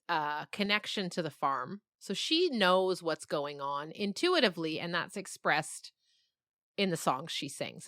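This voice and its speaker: A woman speaks in a casual interview, explaining her creative work in a thoughtful way. Her voice sits fairly low but is clearly bright and forceful. The sound is close and dry, with little room around it. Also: dry recording. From grew up on a farm. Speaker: interviewed writer of a fiction podcast.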